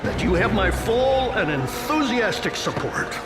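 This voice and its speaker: An actor playing a blustering, theatrical big-city mayor in a noir audio drama. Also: male voice, high voice, big pitch swings, a little slow, very noisy. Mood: eager. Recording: loud music under the voice. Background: loud dramatic music.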